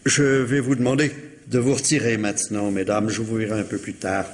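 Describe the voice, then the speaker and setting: A man playing a courteous police superintendent, formal and deliberate with careful pauses, in a vintage French radio mystery. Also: fairly low pitch, little pitch movement, lightly acted. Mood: calm, probing.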